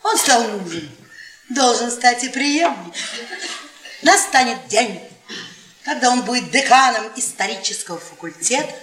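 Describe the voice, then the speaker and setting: An actress playing a scornful middle-aged professor's wife in a radio play, mocking and goading with biting, drawn-out emphasis. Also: female voice, fairly high pitch, animated, a bit bright. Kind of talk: theatrical radio play acting. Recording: echoey room, off-mic radio theatre recording.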